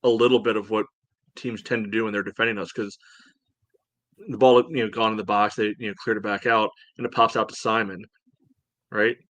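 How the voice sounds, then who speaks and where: A man chatting casually as a sports podcast host, breaking down play in a halting, rambling way full of verbal padding. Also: fairly low pitch, level tone, fairly bright tone, no room sound. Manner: halting, rambling delivery peppered with "you know". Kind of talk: casual sports analysis chat.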